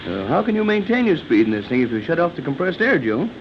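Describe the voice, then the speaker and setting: Animated, quick-talking sea captain. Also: male voice, on the high side. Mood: amazed, questioning. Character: affable adult sea captain, practical, easily amazed. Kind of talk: 1930s radio serial acting. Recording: noisy, dull 1930s radio recording.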